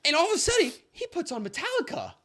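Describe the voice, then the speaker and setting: A young man co-hosting a podcast, telling stories in a casual, animated way that jumps up high when he gets excited. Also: fast. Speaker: podcast co-host. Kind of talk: casual storytelling chat.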